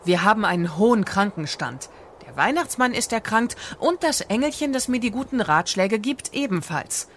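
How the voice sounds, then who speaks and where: A woman's voice: medium pitch, expressive, moderate pace, slight background noise, close to an everyday voice. A woman playing Santa's harried, pragmatic and grumbling wife in a fairy-tale radio play. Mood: businesslike.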